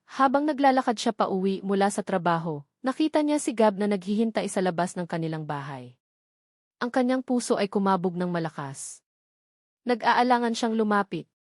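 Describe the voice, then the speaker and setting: A woman's voice: medium pitch, darkish tone, dry recording. Filipino woman narrating drama, close dry sound. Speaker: story narrator. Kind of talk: dramatic scripted narration of a romance story.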